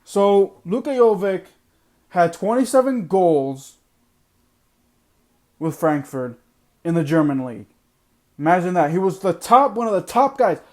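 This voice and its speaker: A man with a high voice hosts a sports podcast and rants bluntly, hammering his points home by repeating them. His voice is going hoarse because he is losing it. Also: sing-song, unhurried, pauses often. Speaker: podcast host. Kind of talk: opinionated sports podcast monologue.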